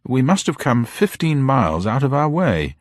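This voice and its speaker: A man voicing an elderly, querulous, fussy gentleman in a radio drama reading. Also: medium pitch, big pitch swings, moderate pace, no echo, studio quality, voice pushed up, lightly acted. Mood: fussy, flustered.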